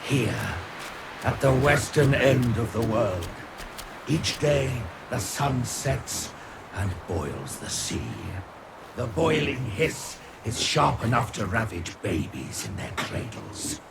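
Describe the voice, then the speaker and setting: An actor playing a commanding, scheming warlord in a video game, speaking in a theatrical, formal, archaic way. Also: male voice, mid-range voice, sing-song, slow pace, vocal fry, heavy background noise, heavily acted. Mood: grim, solemn. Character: commanding, scheming warlord seeking an ally against a foe. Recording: loud music under the voice.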